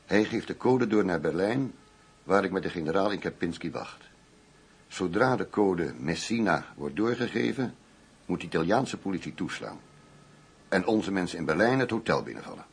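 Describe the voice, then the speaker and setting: An actor playing a hard-bitten, cynical undercover police commissioner in a Dutch radio play, terse and slightly husky. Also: male voice, deep voice, expressive, normal speed, full character voice. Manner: terse, dry. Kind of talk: Dutch radio drama dialogue.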